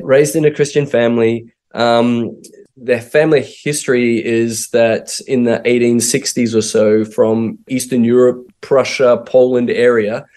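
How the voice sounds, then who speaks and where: A man's voice: medium pitch, darkish tone. A middle-aged Australian man, a missionary pastor, telling his life story in an interview with many fillers and restarts. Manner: hesitant, full of fillers and restarts.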